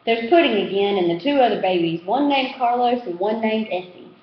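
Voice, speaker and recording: A woman giving an animated read-aloud of a children's novel as its narrator. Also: a little high, a little fast. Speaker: reader. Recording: roomy echo, slightly dull sound.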